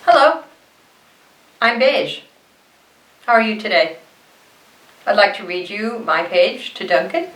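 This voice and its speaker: A woman voicing a proud, disgruntled beige crayon from a storybook, airing grievances in a deadpan, plainspoken way. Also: medium pitch, takes it slowly, long pauses, roomy sound, far from the mic, low-quality recording. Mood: polite.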